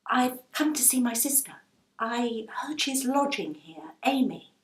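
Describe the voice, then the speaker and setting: Earnest young girl, acted by a woman, anxious, determined, raised pitch, storybook reading in an echoey room. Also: lively intonation, a little slow, far from the mic. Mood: urgent.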